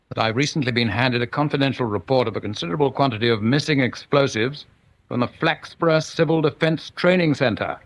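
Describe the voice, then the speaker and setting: Actor as hearty chief constable. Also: male voice, mid-range voice, lightly acted. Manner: hearty, clubby, old-boy breeziness. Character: jovial, snobbish upper-class male chief constable. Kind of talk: radio drama acting, one side of a phone call.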